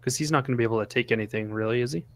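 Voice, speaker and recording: A man's voice: mid-range voice, very fast. A man playing a strategy game online, chatting casually and hesitantly with another player. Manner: easygoing, hesitant, joking. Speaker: fellow streamer player. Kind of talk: casual gaming chat.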